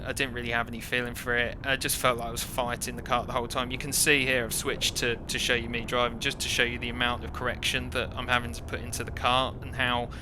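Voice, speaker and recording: British man, fairly even-toned, over engine rumble. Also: quick pace, few pauses. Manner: self-deprecating, wry. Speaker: kart racer narrating own race footage. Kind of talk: informal first-person race commentary. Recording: clear engine rumble behind the voice.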